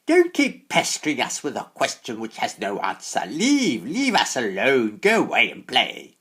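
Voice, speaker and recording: A man voicing a shriveled, mocking old hag in a storybook, with a screechy, shrill, scornful voice. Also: huge pitch swings, a little slow, a bit bright, breathy edge, full character voice. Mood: irritated. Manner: snickering, scornful.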